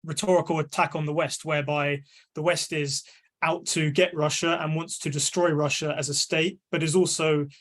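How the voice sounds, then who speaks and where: A young man answers on a video call in a slightly high voice. He talks in a thoughtful, measured way, often pausing on an um and restarting his phrases. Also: level tone, fairly bright tone. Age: young adult. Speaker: panelist, media analyst. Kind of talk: discussion panel answer.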